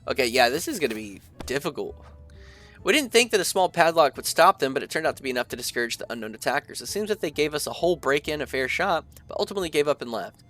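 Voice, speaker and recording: A young man with a stuffy nose chatting casually through a gameplay session, reading the game's text aloud and thinking out loud with lots of fillers. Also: a little high, sing-song, fast, soft background music. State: stuffy from allergies. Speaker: gaming video creator. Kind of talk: casual let's-play commentary, reading game text aloud.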